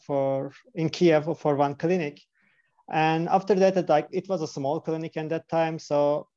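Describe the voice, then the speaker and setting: A man's voice: a little high, dark tone. A man from Turkey with a Turkish accent, an embryologist speaking earnestly in non-native English during a remote interview. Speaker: embryologist and clinic director. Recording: dull lo-fi call audio.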